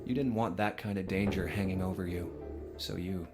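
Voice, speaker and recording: A determined young accuser in a visual novel. His voice sits low and he lays out each point deliberately, like a detective. Clear music plays behind him. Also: fairly even intonation. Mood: pressing, accusing. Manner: deliberate, reasoning step by step. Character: determined young man methodically accusing a killer. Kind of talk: dramatic visual novel dialogue acting. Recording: game audio under prominent music.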